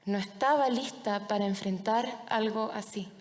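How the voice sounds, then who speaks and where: A woman's voice: mid-range voice, a little mellow. Young Chilean woman speaking in a reverberant hall. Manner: deliberate, dramatic pauses in short phrases. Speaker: public speaker, anti-bullying foundation director. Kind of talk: personal storytelling talk to an audience.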